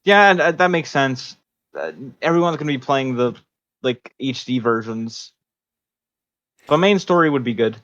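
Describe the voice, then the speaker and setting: A man's voice: medium pitch, lively intonation, normal speed, pauses often, fairly bright tone, no echo, dull audio. A man in casual podcast chat as a co-host, blunt and skeptical, swearing casually.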